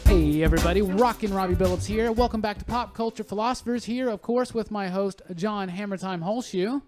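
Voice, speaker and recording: A man's voice: high-pitched, a little fast, a little mellow, soft background music. An American man hosting a casual pop culture podcast, chatting with his panel and addressing listeners. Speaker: podcast host.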